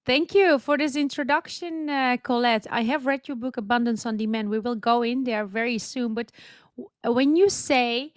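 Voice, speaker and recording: Podcast host, woman, slightly high, dry close sound. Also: normal speed, dry recording. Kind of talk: podcast interview.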